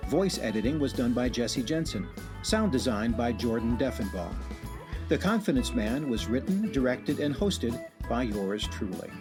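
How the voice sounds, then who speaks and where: A man's voice: medium pitch, lively intonation, normal speed. A man hosting a radio drama, delivering scripted narration with a wry, ominous sign-off. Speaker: host and writer-director. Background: music.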